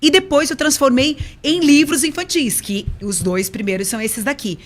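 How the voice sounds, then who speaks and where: A woman's voice: sits high, moderate pace. Paulista woman pastor telling stories. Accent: Paulista (São Paulo coast). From Brazil, São Paulo state coast. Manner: lively, personal storytelling. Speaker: pastor and children's book author. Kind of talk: casual radio conversation.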